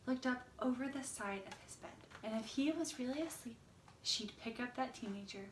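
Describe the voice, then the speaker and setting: A woman reading a children's picture book aloud with expressive storytelling. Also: medium pitch. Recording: echoey room, distant mic, faint noise.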